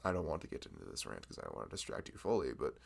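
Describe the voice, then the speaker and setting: A man plays a friendly young florist in an ASMR roleplay, who chats with a customer in a low, gravelly voice. His pitch swings widely as he rambles, laughs often and pokes fun at himself. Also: big pitch swings, racing, exaggerated voice. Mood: chatty. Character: chatty nerdy young male florist, friendly.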